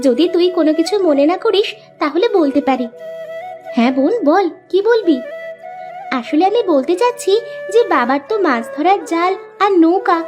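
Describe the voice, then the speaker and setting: Woman playing really high-voiced village girl, earnest n determined, cartoon dub, music loud behind. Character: determined young village sisters, eager and earnest. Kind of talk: Bengali moral-tale cartoon dubbing.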